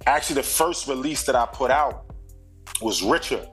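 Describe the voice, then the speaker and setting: A man from Brooklyn with a New York accent, in his thirties, speaking at an ordinary middle pitch. He talks in a relaxed, reflective way, heavy with slang and little fillers. Faint music plays in the background. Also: normal speed. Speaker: rapper and singer, guest. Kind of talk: casual interview chat.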